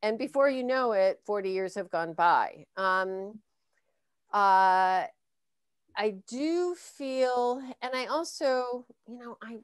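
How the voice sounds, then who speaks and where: A woman's voice: on the high side, expressive, slow pace, smooth, no echo, clean studio sound. An American woman in her sixties answering questions on a video call, thoughtful and hesitant, with many fillers and restarts. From United States. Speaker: guest speaker.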